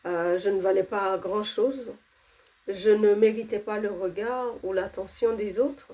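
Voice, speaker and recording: A woman's voice: medium pitch, fairly even intonation, unhurried, mellow. A woman in her thirties, not a native French speaker, giving an earnest personal faith testimony, with fillers and restarts.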